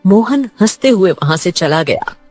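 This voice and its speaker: A woman's voice: medium pitch, lively intonation, normal speed, darkish tone, soft background music. A woman narrating a scripted cartoon moral story as a storyteller.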